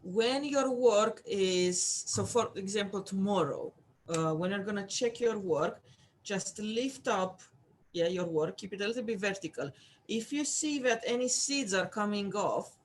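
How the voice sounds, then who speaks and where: Craft teacher, takes it slow, lots of uhs and ums, chatty with viewers, faint noise behind. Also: medium pitch, animated. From Romania. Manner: hesitant, many fillers, chatty asides to viewers. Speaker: art class instructor. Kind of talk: casual craft tutorial.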